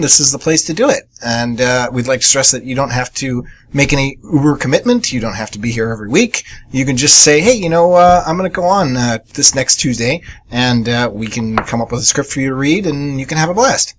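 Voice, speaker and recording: Male co-host, mid-range voice, casual and chatty radio announcements with a jokey streak. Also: mid-range voice.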